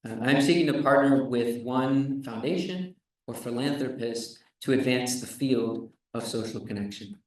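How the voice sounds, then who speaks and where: Man founder pitching, earnest, mid-pitched voice, roomy echo. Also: moderate pace, a little mellow. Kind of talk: prepared pitch speech. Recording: echoey hall, distant mic.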